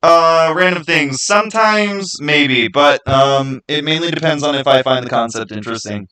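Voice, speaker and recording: A man hosting a livestream, chatting casually and answering viewers in an informal, opinionated, halting way that often repeats itself. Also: fairly high pitch, pushed and bright, smooth. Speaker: livestream host.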